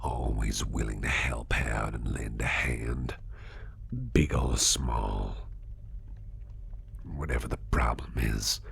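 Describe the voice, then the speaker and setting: A man playing a kindly, attentive businessman boss in a gentle, whispered, gravelly ASMR roleplay that soothes an employee. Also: extremely low pitch, steady tone, full character voice. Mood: warm, caring. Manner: gentle, slow, reassuring. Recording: clearly audible background noise.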